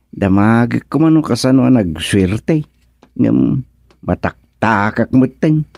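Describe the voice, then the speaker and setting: A man's voice: mid-range voice, animated, slow pace, vocal fry, darkish tone, dry recording. A man acting a bashful, nervous young suitor in an Ilocano radio comedy, stammering and exclaiming with an Ilocano accent. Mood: musing, amused. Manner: stammering, exclaiming, muttering anxiously to himself.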